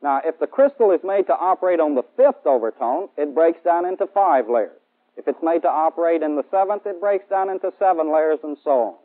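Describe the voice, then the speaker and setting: A man's voice: on the high side, lively intonation, fairly quick, phone-quality sound. An American man in his thirties giving a formal, methodical lecture as a military instructor in a 1960s training film. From United States. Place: indoor studio set. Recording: band-limited old 1960s film soundtrack.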